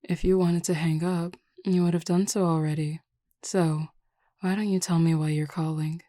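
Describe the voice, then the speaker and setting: A woman playing a flirty, teasing, self-assured werewolf pack beta in an audio roleplay, talking in a casually confident, unhurried way. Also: lowish voice, little pitch movement, mellow, dry recording, clean studio sound, natural voice. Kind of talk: scripted audio roleplay acting.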